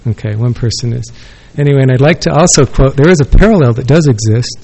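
A man's voice: medium pitch, quick pace, gravelly, warm tone, low-quality recording. A man giving a rambling, self-correcting response at an academic conference, speaking into a podium microphone. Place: conference room with audience.

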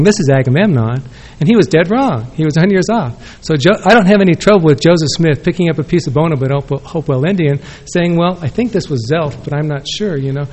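A man's voice: fairly high pitch, a little mellow. A man giving a rambling, self-correcting response at an academic conference, speaking into a podium microphone. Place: conference room with audience.

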